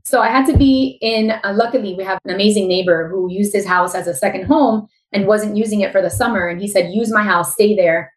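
A woman's voice: mid-range voice, steady tone, brisk, few pauses, echoey room, rough recording. A woman in her thirties with a Miami accent, a guest telling her story openly in a casual interview. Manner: open, candid storytelling.

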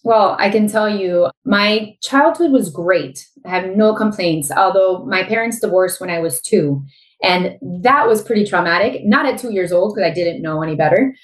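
A woman's voice: medium pitch, lively intonation, normal speed, reverberant, rough recording. A woman in her thirties with a Miami accent, a guest telling her story openly in a casual interview. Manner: open, candid storytelling.

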